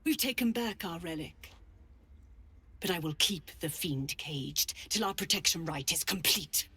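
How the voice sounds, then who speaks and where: An actress playing a stern, zealous druid leader, cold, commanding and contemptuous, in a video game. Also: female voice, fairly low pitch, animated, a little slow, sharp tone, husky, exaggerated voice. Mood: cold, accusing.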